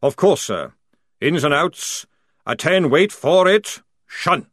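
A man voicing a gruff old army sergeant who barks orders. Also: medium pitch, big pitch swings, measured pace, a bit bright, no room sound, studio quality, pitched above the performer's own voice. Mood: barking. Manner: bellowing, barking orders.

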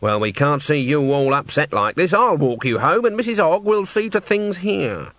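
A man reading a storybook, voicing a stern old witch woman with a brisk, imperious way of talking. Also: fairly high pitch, big pitch swings, fairly bright tone, pitched above the performer's own voice. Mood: firm, questioning.

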